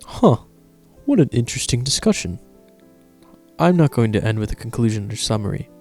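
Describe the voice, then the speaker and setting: An actor playing a wry, bored narrator in a radio drama, dry and theatrical with a gravelly voice. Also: male voice, medium pitch, very animated, a little fast, darkish tone. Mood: dry, sardonic. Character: wry, bored male narrator stuck in waiting room. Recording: music bed under the voice.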